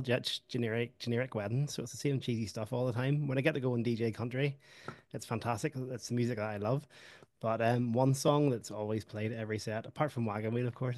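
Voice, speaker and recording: A man's voice: mid-range voice, a little mellow, no room sound. A man hosting a podcast interview as a DJ, friendly and talkative. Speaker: podcast host and DJ. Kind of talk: casual interview chat.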